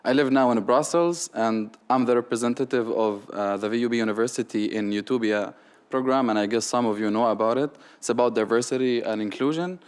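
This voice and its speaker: Smooth-voiced man speaking on a panel. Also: mid-range voice, fairly quick, a little mellow. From Palestine. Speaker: youth league founder, panelist. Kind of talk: panel self-introduction.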